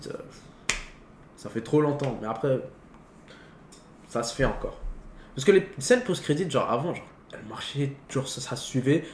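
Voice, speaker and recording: A man co-hosting a casual film talk, rambling informally in slangy, half-finished sentences. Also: medium pitch. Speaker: co-host of a film talk show. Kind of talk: casual film debate between friends. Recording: echoey room with faint background noise.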